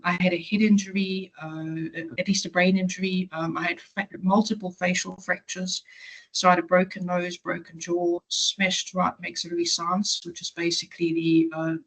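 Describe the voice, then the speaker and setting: A woman's voice: on the low side, a bit bright, breathy edge, low-quality recording. A woman in her forties with a South African accent, a patient advocate giving a matter-of-fact webinar talk over a video call, with frequent ums. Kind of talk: webinar presentation.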